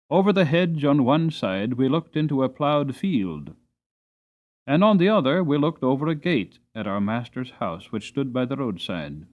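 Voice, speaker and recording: A male audiobook narrator reads a storybook in a dramatic style. His intonation is very lively and his phrasing deliberate, on a slightly muffled, lo-fi recording. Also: mid-range voice.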